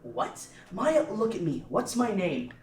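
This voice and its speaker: A man's voice: high voice, big pitch swings, slow pace, a little mellow, roomy sound, distant mic, a little hiss or hum, heavily acted. A man voicing a worried, caring young friend at a table read, urgent and concerned.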